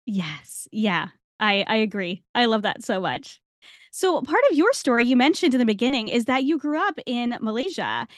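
A woman's voice: fairly high pitch, very animated, normal speed, no room sound. Enthusiastic woman host, dry close sound. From Rhode Island, US. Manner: enthusiastic, affirming. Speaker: podcast host. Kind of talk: casual interview conversation.

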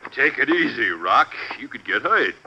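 An actor playing a gruff, burly, sarcastic police sergeant with blustering, rough street talk in a 1950s radio drama. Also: male voice, medium pitch, very animated, normal speed. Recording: noisy, dull old radio recording.

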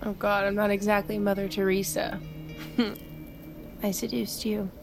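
An actress playing a cocky, foul-mouthed young army recruit in a naturalistic audio drama, with a flippant, teasing manner. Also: female voice, mid-range voice, little pitch movement, a little slow, gravelly, over music. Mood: teasing, intimate. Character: brash, cocky young female army recruit, manipulative, wounded.

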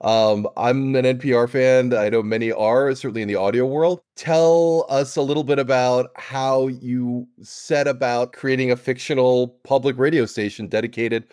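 A man's voice: mid-range voice, steady tone, normal speed, few pauses, a little mellow, smooth, dry recording, clean studio sound. A man hosting and interviewing on an audio fiction podcast.

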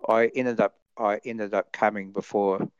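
A man chatting as a guest in a halting, repetitive, rambling way, over dull lo-fi audio. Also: lowish voice, flat delivery, smooth, no echo. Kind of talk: casual chat, anecdote telling.

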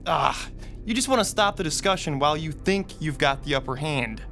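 A man's voice: a little high, lively intonation, normal speed, gravelly, pushed and bright, a little hiss or hum, heavily acted. A man playing an argumentative, pedantic, indignant comic book fanboy in a radio drama. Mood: huffy.

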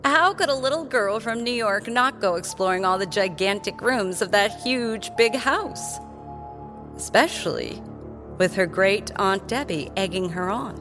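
A woman's voice: mid-range voice, animated, a little slow, music in the background, lightly acted. A woman narrating a scripted fantasy tale for children in an audio drama. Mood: wry, knowing.

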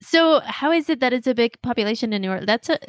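A woman hosting a podcast interview, asking friendly, quick questions in a casual way. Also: fairly high pitch, animated.

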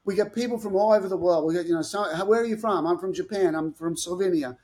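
An upbeat man with a fairly high voice talks through tennis tactics as a podcast guest. He explains eagerly and jumps between points. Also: fast talker. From Australia. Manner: enthusiastic, animated explaining. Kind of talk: podcast interview.

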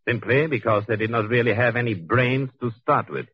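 An actor playing a cold, arrogant intellectual murderer in a 1940s radio thriller, speaking in a pompous, measured, condescending way. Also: male voice, mid-range voice, breathy edge, no room sound. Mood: smug. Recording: dull 1940s radio recording.

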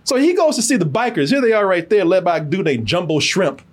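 A man reviews a film casually as a podcast host, in a slightly high, husky voice. His pitch moves around a lot as he tells the story. He is emphatic, repeats himself and swears freely. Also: fast. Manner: animated, emphatic, repetitive, cursing freely.

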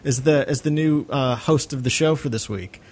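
A man's voice: mid-range voice, moderate pace, darkish tone. A man co-hosting a podcast, giving his opinions in casual conversation. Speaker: podcast co-host.

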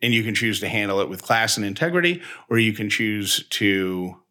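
A man's voice: fairly low pitch, moderate pace, bright, forceful tone. A man in casual, reflective personal talk as a co-host, speaking in an earnest, reassuring way in long monologues.

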